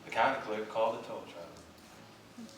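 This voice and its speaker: A woman reading dramatic literary narration live on stage with a measured, theatrical delivery. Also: very deep voice, lively intonation. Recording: very echoey hall, distant, faint noise.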